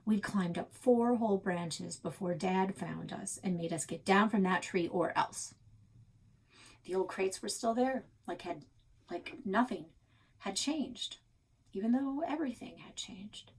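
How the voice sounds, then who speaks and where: A woman reading a children's book aloud in an expressive storytelling style, with a slightly husky voice. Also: mid-range voice, measured pace, poor audio. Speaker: library read-aloud reader.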